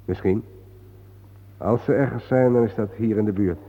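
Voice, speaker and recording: An actor playing a grave, world-weary man guiding his friend through war cemeteries in a solemn, measured, sardonic radio-play manner. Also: on the low side. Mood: hushed, solemn. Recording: muffled phone-like old radio recording, reverberant.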